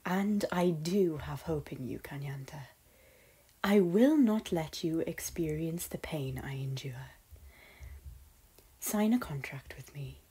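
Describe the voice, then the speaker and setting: A woman playing a solemn, wistful noblewoman in an animated drama, speaking in hushed, breathy, formal poetic phrases. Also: low-pitched, slow, exaggerated voice. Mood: grave, wistful. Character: solemn, wistful noblewoman nursing lost love. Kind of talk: dramatic animated-film voice acting. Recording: music under the voice.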